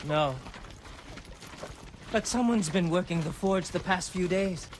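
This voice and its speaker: A man acting a nervous, smooth-talking sake merchant and swindler in a video game cutscene, wheedling and excitable. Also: high-pitched, unhurried, slight background noise. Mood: breezy, sly. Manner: wheedling, excitable, self-promoting. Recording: loud music behind voice.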